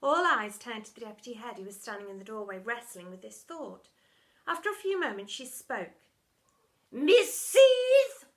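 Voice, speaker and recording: A woman's voice: mid-range voice, lively intonation, brisk, pushed and bright. A woman narrating a children's story in a dramatic storytelling style, as for primary school pupils.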